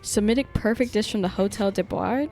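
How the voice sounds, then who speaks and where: A woman's voice: mid-range voice, fairly quick, mellow. A young woman livestreaming a game, commenting casually and playfully, exclaiming often and reading dialogue aloud. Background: game music.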